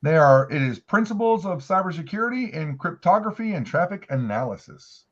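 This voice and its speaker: A man's voice: fairly high pitch, sing-song, brisk, a bit bright. A middle-aged man chatting casually as a livestream guest on a video call, folksy and emphatic, in dull lo-fi call audio. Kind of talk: casual chat.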